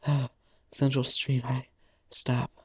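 A man's voice: medium pitch, level tone, slow pace, breathy edge. A man hosting a let's play, reading visual novel narration aloud dramatically and stumbling over the text now and then. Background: faint game music.

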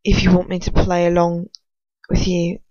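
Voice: mid-range voice, monotone, normal speed, a little mellow, no echo, lo-fi sound, lightly acted. An amateur reader voicing a determined, defiant teenage boy wizard in a storybook reading, firm and earnest. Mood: firm, quiet.

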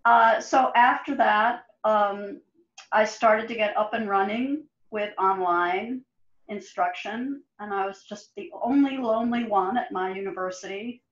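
A woman's voice: medium pitch, a little slow. A woman who teaches at a university, recalling an online course in a chatty storytelling way on a video call. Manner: storytelling with light laughs. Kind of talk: casual video call chat. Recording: echoey lo-fi call audio.

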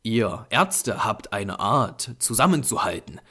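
A man's voice: fairly low pitch, big pitch swings, takes it slowly, pushed and bright, husky, exaggerated voice. A man voicing an irritated, sceptical male artist in an audiobook, brusque and dismissive. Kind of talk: audiobook character voice.

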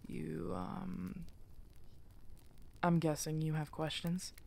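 Woman acting a low, creaky, guarded werewolf hermit. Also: flat delivery, darkish tone, full character voice. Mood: guarded, confessional. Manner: slow, hesitant, terse. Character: guarded, weary female werewolf, deposed hermit alpha. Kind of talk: ASMR audio drama acting.

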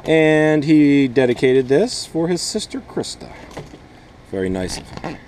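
A man in his thirties, a teacher, talking casually and a bit haltingly to camera as he explains things for families. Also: a little high, very animated, darkish tone, a little hiss or hum. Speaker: teacher and parent making a family vlog. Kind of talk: casual talk to camera and children's read-aloud. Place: small plastic-covered nursery greenhouse.

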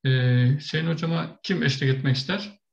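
A man's voice: fairly high pitch, moderate pace, vocal fry. A man moderating an online language class, handing out speaking turns in Turkish. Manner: brief, directing turns. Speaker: teacher and moderator. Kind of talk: casual moderation. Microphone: video call. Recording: roomy lo-fi call audio.

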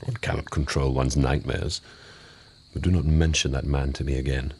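An actor in a radio drama playing a haughty, urbane lord of an old Japanese court, talking in a dry, deliberate manner. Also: male voice, rumbling low, flat delivery, a little mellow, breathy edge, full character voice. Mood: dry, critical. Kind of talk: BBC radio drama acting.

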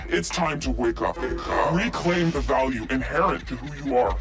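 A man playing a defiant hacker collective spokesman, delivering a dramatic, preachy and deliberate propaganda broadcast in a video game. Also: mid-range voice, lightly acted. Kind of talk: scripted propaganda broadcast narration. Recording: music under the voice.

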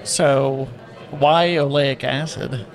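A man's voice: mid-range voice, fairly even intonation, extremely slow, vocal fry. A man co-hosting a podcast, asking follow-up questions and often restarting them. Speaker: podcast co-host. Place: conference hall booth with crowd behind. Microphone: table mic on stand, wearing headphones.

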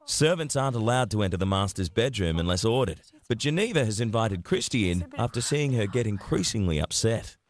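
A man reading a scripted reality TV voice-over in a crisp announcing delivery. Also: lowish voice, animated, brisk, few pauses, a little hiss or hum. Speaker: show narrator. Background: music.

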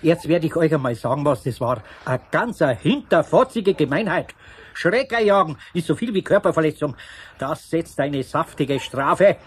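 A man playing a gruff but kindly older craftsman with a Bavarian accent, husky and emphatic, in a 1970s children's radio play. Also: a little high, lively intonation, normal speed. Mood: scolding.

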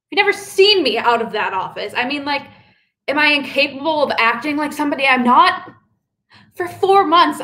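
Defiant young woman played by an actress, high and bright, angry rapid rant. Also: exaggerated voice. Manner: angry, rapid, profane ranting. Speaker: performer at a monologue contest. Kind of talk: live contemporary dramatic monologue.

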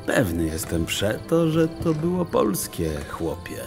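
A man narrating a children's audiobook in a literary, theatrical, deliberate storytelling manner. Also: a little high, big pitch swings, gravelly. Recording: music under the voice. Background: music.

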